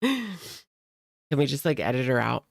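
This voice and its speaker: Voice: mid-range voice, big pitch swings, measured pace, vocal fry, no echo, clean studio sound. A streamer giving casual reaction commentary in a gossipy, sardonic, conversational way.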